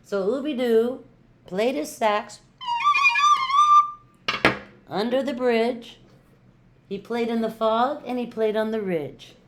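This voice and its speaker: Older woman reading a story, slowly and playfully. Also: on the high side, over music. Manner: rhythmic, playful storytelling with drawn-out emphasis. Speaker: retired teacher reading to children. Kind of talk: expressive picture-book read-aloud.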